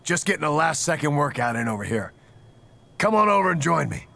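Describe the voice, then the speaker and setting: A hearty, domineering wrestling boss for a video game. The voice is gravelly and swoops dramatically, jovial yet challenging, with loud noise around it. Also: male voice, a little high, sing-song, a little fast. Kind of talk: video game cutscene acting.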